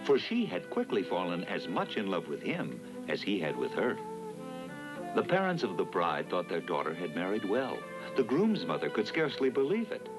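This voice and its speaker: Low-voiced, animated male storyteller. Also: rough recording. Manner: dramatic, measured storytelling. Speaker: narrator. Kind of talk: scripted children's fairy-tale narration.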